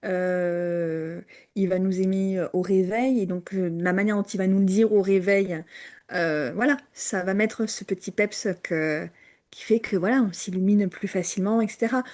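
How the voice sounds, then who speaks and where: A woman co-hosting a casual podcast chat, hesitant, with many fillers and restarts and frequent quiet drops. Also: mid-range voice, fairly even intonation, normal speed. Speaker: podcast co-host.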